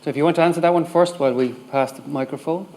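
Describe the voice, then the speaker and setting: Brisk man chairing a Q&A. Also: fairly high pitch, darkish tone, slight background noise. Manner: brisk, directing. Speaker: session chair.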